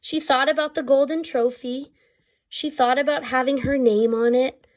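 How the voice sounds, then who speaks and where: Higher-voiced woman reading a story aloud. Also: dull audio. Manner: expressive, teacherly, pausing to explain and ask questions. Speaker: storyteller reading a picture book aloud to children. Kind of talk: read-aloud storytelling with questions to young listeners.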